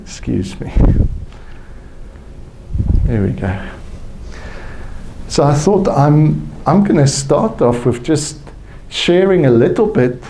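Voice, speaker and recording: Slow South African man telling a story. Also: fairly high pitch, sing-song, noisy background. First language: Afrikaans. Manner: slow, halting, reflective with frequent restarts. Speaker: conference speaker. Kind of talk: personal storytelling talk. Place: conference hall.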